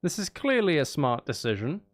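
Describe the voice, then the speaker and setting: A man's voice: on the high side, very animated, normal speed. A man streaming a video game, thinking aloud in a casual, playful running commentary. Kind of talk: casual live gameplay commentary.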